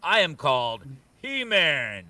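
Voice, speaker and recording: Heard a little away from the mic, a man acts out a noble, muscle-bound champion. His slightly high voice swings up and down as he declaims old-fashioned heroic lines with earnest confidence, as in a live comic book reading. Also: very animated, takes it slowly, bright, forceful tone, dry recording, distant mic, exaggerated voice. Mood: earnest resolve. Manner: declamatory, archaic heroic diction.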